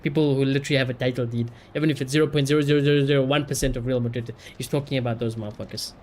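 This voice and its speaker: A man giving casual livestream commentary in an animated, slangy, sweary way. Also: mid-range voice, rapid-fire, a little hiss or hum. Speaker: live streamer.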